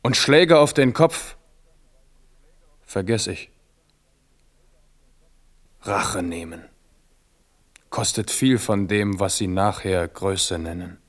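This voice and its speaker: A man's voice: sits low, slow pace, long pauses. An actor playing a proud, defiant king in a radio play, speaking with emphatic force. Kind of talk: 1970s radio play acting.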